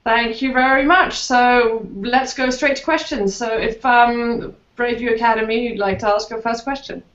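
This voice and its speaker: A woman's voice: fairly high pitch, steady tone, normal speed, fairly bright tone, reverberant, dull audio. A woman moderating a video chat, polite and businesslike with a few fillers.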